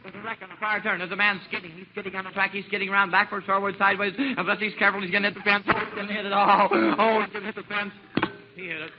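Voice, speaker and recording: A man playing an excitable, muddled sports announcer in a 1940s radio comedy sketch, giving breathless, repetitive mock race commentary. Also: sits high, very fast, close to an everyday voice. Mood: alarmed. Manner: breathless, repetitive, overexcited play-by-play. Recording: noisy, dull 1940s radio broadcast recording.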